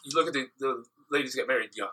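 Host, male, mid pitch, bright, animated and opinionated. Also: very fast, rough recording. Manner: animated, storytelling, lecturing tone. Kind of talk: casual chat.

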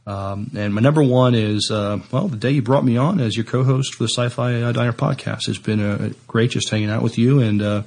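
Halting, gravelly-edged man. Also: medium pitch, fairly even intonation, darkish tone. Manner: halting, hesitant phrasing. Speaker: podcast co-host. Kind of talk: casual chat.

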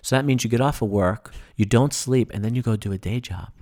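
A man's voice: medium pitch, brisk, a little mellow. A man, a guest on a podcast, explaining with enthusiasm in casual chat and many fillers. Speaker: podcast guest, breathing workshop instructor.

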